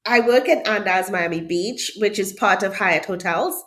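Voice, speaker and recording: A woman's voice: medium pitch, moderate pace, fairly bright tone. A middle-aged woman with a Trinidadian accent, a hotel HR director answering interview questions in an emphatic way, often repeating key words for stress. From Trinidad and Tobago. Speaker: hotel HR director, podcast guest.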